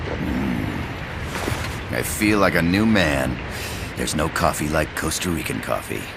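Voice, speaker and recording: Battle-worn mercenary leader, terse, gravelly fry, very low, loud music behind. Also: male voice, very animated, measured pace, background noise, heavily acted. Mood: gruff. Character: gruff battle-hardened male mercenary leader. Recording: loud game score under voice.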